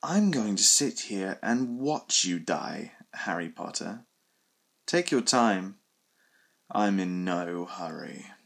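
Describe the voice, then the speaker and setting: A man reading an audiobook voices a sneering young male dark wizard who taunts his enemy in soft, drawn-out words. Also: low voice, animated, vocal fry, pitched below the performer's own voice, full character voice. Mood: gloating taunt. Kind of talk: audiobook character voice.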